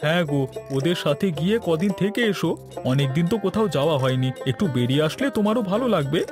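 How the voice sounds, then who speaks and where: A caring young village husband, played by a man in a cartoon tale. His voice is very high and coaxing, with clear background music. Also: animated, a little fast, close to an everyday voice. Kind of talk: animated moral story dialogue. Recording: music bed behind dialogue.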